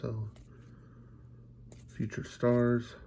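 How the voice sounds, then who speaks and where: A man's voice: mid-range voice, completely flat delivery, drawn-out words, vocal fry, dark tone, a little hiss or hum. A congested, stuffy-sounding man opening card packs and chatting casually, musing aloud with lots of fillers. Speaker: card collecting channel host. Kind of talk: casual pack-opening commentary.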